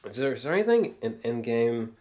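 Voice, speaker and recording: A man's voice: medium pitch, sing-song, brisk, a little mellow. A man giving a casual, rambling film review with geeky, awed enthusiasm, often hesitating, trailing off and swearing casually.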